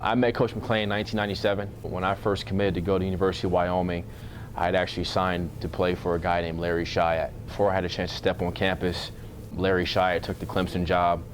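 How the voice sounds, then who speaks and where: Deep-voiced man, flat and low. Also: fast talker, slight background noise. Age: 30s. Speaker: basketball performance coach. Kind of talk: informal on-camera interview.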